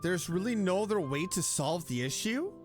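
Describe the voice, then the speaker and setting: A woman's voice: lowish voice, animated, normal speed, darkish tone. A woman streaming a game, reacting casually with short exclamatory asides. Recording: game music behind the voice.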